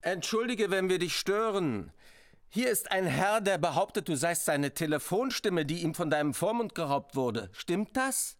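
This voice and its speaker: A fussy engineer with a fairly high, very lively voice. He is formal and officious, in an absurd radio play. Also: normal speed. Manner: officious, polite. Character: fussy, formal engineer at an odd research station. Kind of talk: absurd comic radio drama acting.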